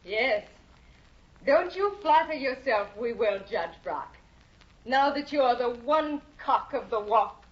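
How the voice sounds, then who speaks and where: An actress playing Hedda, a bored, cold aristocratic young wife, speaking slowly and mockingly in a 1950 radio drama. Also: female voice, a little high, expressive, slight background noise. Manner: slow, icy, mocking. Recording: dull lo-fi 1950 radio broadcast.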